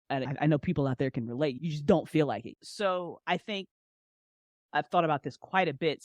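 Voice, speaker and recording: A woman in her forties chatting candidly and informally as an interview guest, with expressive, slangy delivery. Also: low-pitched, no echo, clean studio sound. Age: 40s. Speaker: podcast guest, audio drama creator and writer. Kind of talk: casual, candid interview chat.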